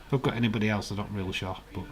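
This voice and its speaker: A man with a croaky, hoarse voice, hosting a casual live stream, chatting in a rambling way and reading out viewer comments. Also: fairly low pitch, very fast, faint music behind.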